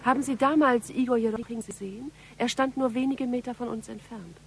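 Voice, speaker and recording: A policewoman in a radio crime play, with a fairly high voice. She questions politely and will not let go. Faint noise sits behind her. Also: fairly even intonation, a little fast, gravelly. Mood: insistent. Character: tall gaunt policewoman, probing, polite, persistent interrogator. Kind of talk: German radio crime drama acting.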